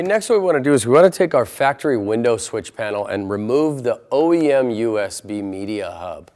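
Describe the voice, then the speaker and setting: Guy doing an install tutorial, kinda low voice, plain methodical step by step talk. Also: a little mellow. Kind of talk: step-by-step instructional narration.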